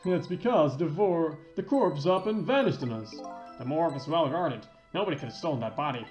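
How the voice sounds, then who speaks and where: A sombre older superintendent and former examiner, read by a man playing a video game. He tells his story gravely with a moving pitch, in a dull, echoey recording. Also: lively intonation, fairly quick, darkish tone, faint music behind, close to an everyday voice. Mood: bewildered. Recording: dull lo-fi roomy mic.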